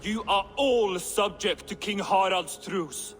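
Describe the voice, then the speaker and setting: A stern royal herald proclaims the king's law in a high, formal voice. His words ring with long reverb, as if called across a great hall, with faint music beneath. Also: slow, fairly bright tone. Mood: proclaiming.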